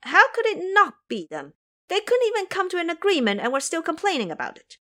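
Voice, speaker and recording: Excitable Taoist boy, read by a woman, alarmed, lively pitch. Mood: agitated. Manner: alarmed, quick, high.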